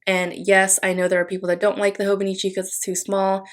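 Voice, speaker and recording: A woman's voice: mid-range voice, completely flat delivery, fast. A young woman from the Philippines whose first language is Tagalog, chatting casually in a voice-over while decorating a planner, rambling with many fillers and restarts. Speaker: planner vlogger.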